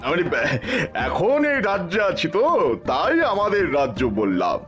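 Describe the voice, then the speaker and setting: Sly, silky-voiced scholar. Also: male voice, high voice, huge pitch swings, moderate pace, muffled recording, over music. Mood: persuasive. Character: sly smooth-talking male scholar, scheming. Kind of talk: cartoon voice acting.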